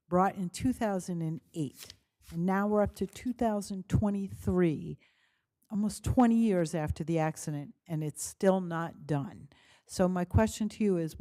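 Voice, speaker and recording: Pressing woman judge with a mellow voice. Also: mid-range voice. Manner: pointed, pressing. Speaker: appellate judge. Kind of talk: questioning counsel at oral argument. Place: courtroom.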